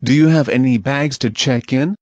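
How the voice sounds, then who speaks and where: A man reads short English travel phrases in a measured, plain voice, one at a time for learners. His pitch is ordinary for a man. The audio is dry and a little dull, as if the highs were trimmed. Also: a little mellow, no echo. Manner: measured, plain, each phrase read alone. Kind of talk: slow clear phrase reading for learners.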